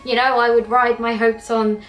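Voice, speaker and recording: A woman's voice: a little high, level tone, normal speed, fairly bright tone. Chatty British woman in an echoey kitchen. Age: young adult. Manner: self-mocking, rambling, chatty. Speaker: cooking vlogger. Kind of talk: casual chatty cooking vlog. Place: home kitchen, indoors. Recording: echoey kitchen with faint music.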